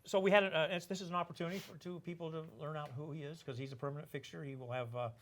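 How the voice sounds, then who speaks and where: A man's voice: fairly high pitch, steady tone, racing, a bit bright. An older man hosting a casual podcast, rambling and joking, with lots of fillers and restarts. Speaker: podcast host, organization executive.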